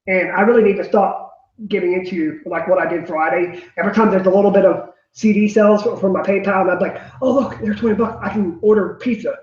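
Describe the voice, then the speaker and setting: A man in his late thirties on a video call, chatty and halting, laughing often between words. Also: high-pitched, steady tone, a little mellow, echoey room, muffled recording. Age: late 30s. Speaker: guest. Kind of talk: casual video call chat.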